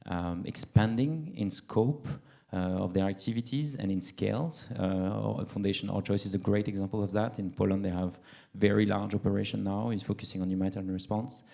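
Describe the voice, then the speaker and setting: Low mellow-voiced male moderator. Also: fairly even intonation, a little fast, gravelly, few pauses. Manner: hesitant, many fillers and restarts. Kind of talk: conference panel moderation. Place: large conference hall. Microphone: handheld mic.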